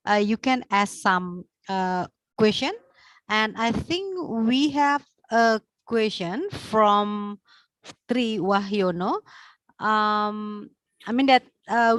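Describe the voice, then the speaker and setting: A woman moderating an online webinar discussion in a polite, halting, unscripted way. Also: medium pitch, no echo. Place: indoors, online video call.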